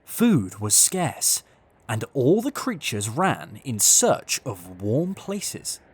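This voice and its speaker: A storyteller reads a children's tale at an unhurried, deliberate pace. The pitch swings expressively from phrase to phrase, and the sound is dry with no room around it. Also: huge pitch swings, slightly rough, no room sound. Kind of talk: scripted children's story narration.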